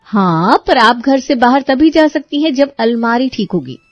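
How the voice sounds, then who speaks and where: A woman voicing a bossy, sharp-tongued young bride in a cartoon story, commanding and sarcastic. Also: fairly high pitch, animated, unhurried, no room sound, dull audio, pitched above the performer's own voice.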